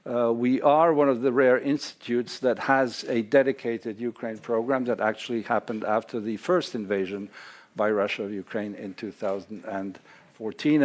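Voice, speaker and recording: A man hosting a conference panel, introducing speakers with many hesitant pauses. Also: mid-range voice. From Serbia. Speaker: conference host. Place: lecture hall or library.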